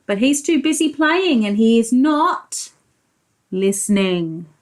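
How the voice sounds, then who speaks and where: A high-voiced woman teacher reads a picture book to small children. Her delivery is very animated and unhurried, with swooping intonation. She talks to the children directly, explaining and asking questions. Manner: expressive, explains pictures and asks the listeners questions. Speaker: preschool teacher. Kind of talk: animated storybook read-aloud for young children.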